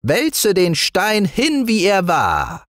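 A man's voice: high-pitched, huge pitch swings, slow, a bit bright, dry recording, clean studio sound, pitched above the performer's own voice, heavily acted. A man voicing a cunning male fox, a minister who talks in a raised, theatrical voice. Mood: scheming.

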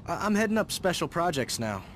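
A man's voice: a little high, big pitch swings, a little fast, a bit bright, a little hiss or hum. An actor playing a young man, a familiar friend who nurses a grudge. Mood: breezy, friendly. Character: breezy young male friend nursing a grudge. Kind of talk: video game voice acting.

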